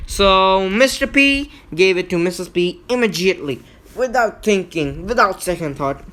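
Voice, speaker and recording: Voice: mid-range voice, lively intonation, moderate pace, a bit bright. A gaming video host giving a casual walkthrough, explaining emphatically with repeats and frequent check-ins. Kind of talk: casual gameplay walkthrough commentary.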